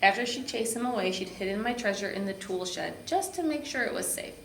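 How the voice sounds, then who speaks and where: A woman narrates a children's storybook in an expressive way. Her pitch is average. The room is echoey, with a little noise behind her. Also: fairly quick. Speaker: park district program supervisor reading to children.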